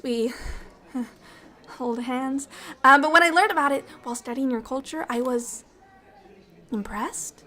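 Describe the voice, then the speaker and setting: High-voiced, bubbly alien ambassador played by a woman. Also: lightly acted. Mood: tender, wistful. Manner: expressive, earnest, slightly formal wording, halting when moved. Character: bubbly, affectionate alien ambassador, curious and naive about humans. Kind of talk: ASMR roleplay acting, intimate one-sided dialogue.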